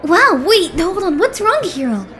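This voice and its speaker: A performer voicing a flustered, excitable teenage idol boy in an anime fandub, stammering and exasperated. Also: extremely high pitch, a little slow, full character voice.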